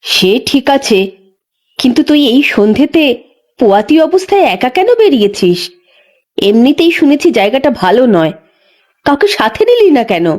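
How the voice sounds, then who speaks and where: A woman's voice: sits high, sing-song, normal speed, pauses often, a little mellow. A woman performs a careworn village midwife in a horror story drama. Her talk is colloquial and full of weary sighs, like someone who carries a whole household alone. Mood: concerned, admonishing. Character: weary, careworn village midwife, a caring but burdened wife.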